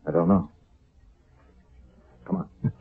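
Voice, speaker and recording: An actor playing a weary, earnest army sergeant giving a tense report in an old radio drama. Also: male voice, slow, phone-quality sound, natural voice. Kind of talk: 1970s radio drama acting.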